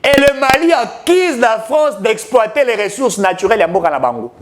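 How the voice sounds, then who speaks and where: A man's voice: very high voice, lively intonation, moderate pace, slight background noise. A man giving emphatic, declamatory political commentary, mixing French with Lingala.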